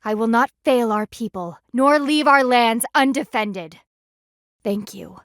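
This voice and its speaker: A proud, dutiful female panda warrior for a video game. Her voice sits fairly high and is recorded dry and close, with no room around it. She speaks in formal, clipped phrases with a haughty, sardonic edge and a stern temper. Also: unhurried, a bit bright, no room sound. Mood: earnest, formal. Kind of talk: video game character voice lines.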